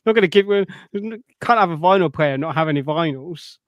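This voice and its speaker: A man's voice: fairly high pitch, animated, fairly quick, no echo. A man co-hosting a podcast, chatting casually in a rambling way. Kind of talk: casual chat.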